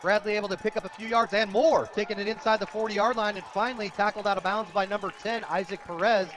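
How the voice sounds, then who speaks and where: A man calling live high school football play-by-play for radio, describing each moment in detail. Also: steady tone, fast. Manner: descriptive, rising to shouts on big plays. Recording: dull, lo-fi radio broadcast.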